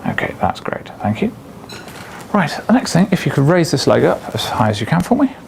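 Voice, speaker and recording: Male clinician, courteous and procedural, animated intonation with vocal fry, guiding an examination. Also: on the high side, a little fast, slight background noise. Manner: polite, procedural. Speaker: doctor examining a patient. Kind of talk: step-by-step clinical exam instructions.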